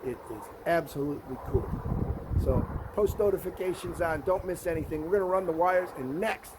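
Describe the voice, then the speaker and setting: A man's voice: a little high, a little fast, noisy background. A man hosting a casual hands-on how-to vlog, enthusiastic and folksy, talking while he works outdoors. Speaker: host of a DIY Jeep project channel. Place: outdoors beside a vehicle, windy.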